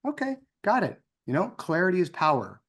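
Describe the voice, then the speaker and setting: A man's voice: high-pitched, huge pitch swings, brisk, a bit bright. An American man giving an informal, conversational speech as a co-founder, in the manner of someone telling personal anecdotes. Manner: conversational, acts out dialogue in anecdotes.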